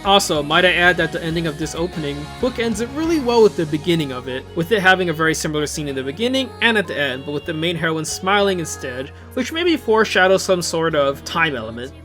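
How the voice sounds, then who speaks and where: A man giving casual, enthusiastic video commentary in an excitable, conversational way, full of exclamations and asides. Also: on the high side, fast, hardly any pauses. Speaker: video host commenting on visual novel openings. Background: music playing behind the voice.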